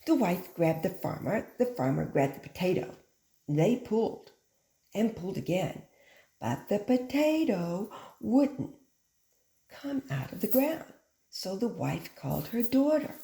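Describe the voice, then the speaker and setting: A woman with a medium-pitched voice reads a children's story aloud in an echoey room. She speaks like a teacher to young listeners, keeping phrases simple and instructive and leaning expressively on key words. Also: big pitch swings, unhurried, creaky, dark tone. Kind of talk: animated children's picture book read-aloud.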